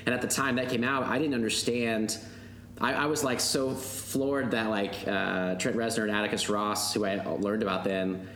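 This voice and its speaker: A man's voice: lowish voice, fairly bright tone, echoey room, slight background noise. A man in his thirties co-hosting a podcast in casual chat, talking hesitantly with many fillers. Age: 30s. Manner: hesitant, filler-heavy talker. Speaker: podcast co-host.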